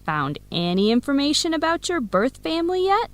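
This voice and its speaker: An actress with an American accent playing a sassy, protective best friend from Philadelphia in a radio drama, with an emphatic delivery. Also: female voice, high-pitched, big pitch swings, a little fast, no echo. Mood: friendly, curious. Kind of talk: scripted radio drama dialogue for English learners.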